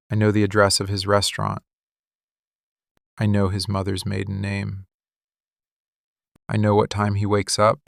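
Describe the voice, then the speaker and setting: A man acts out a dangerous mafia boss in love, possessive and intense, in an intimate audio roleplay. His voice is gravelly and stays in a narrow, controlled range. He speaks in slow, deliberate statements, right up on the microphone. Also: on the low side, fairly bright tone, no echo, studio quality. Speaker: voice actor. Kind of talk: boyfriend ASMR roleplay, intimate dramatic monologue. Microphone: close studio mic, dry.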